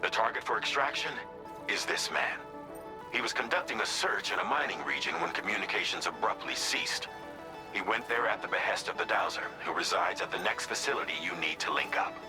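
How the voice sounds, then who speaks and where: An actor playing a measured, formal male handler who gives precisely worded, scripted briefings in a video game. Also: on the low side, animated, low-quality recording. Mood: grave, deliberate. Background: music.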